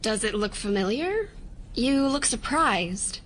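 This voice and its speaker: An actress playing a puzzled apartment resident in an audio drama, speaking naturally. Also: female voice, a little high, sing-song, takes it slowly, a bit bright, heavily acted. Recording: faint background noise.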